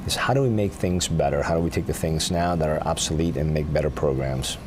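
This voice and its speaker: A man's voice: very deep voice, fairly quick. A man from Peru, an army sergeant major, answering questions earnestly in a live broadcast interview, often restarting his phrases. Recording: noisy outdoor broadcast.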